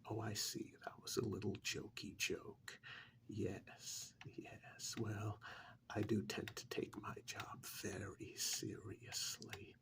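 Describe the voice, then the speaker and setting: A man playing a polite, flustered, earnest tax accountant in a soft-spoken ASMR role-play, hesitant, apologetic and often repeating himself in a whispery voice. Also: fairly low pitch, monotone, slow, gravelly, exaggerated voice.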